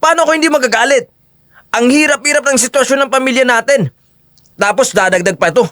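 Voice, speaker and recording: A man's voice: very high voice, fast talker, pushed and bright, dry recording, clean studio sound. A man acting the young brothers and friend of a Filipino audio drama with emphatic, theatrical delivery. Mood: angry, confrontational.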